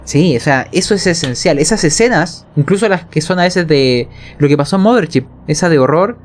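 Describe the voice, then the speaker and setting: Chilean man explaining, slightly high voice. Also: animated, brisk. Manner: colloquial, checks in with listeners. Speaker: game master teaching narration technique. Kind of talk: explanatory conversation on tabletop role-playing narration.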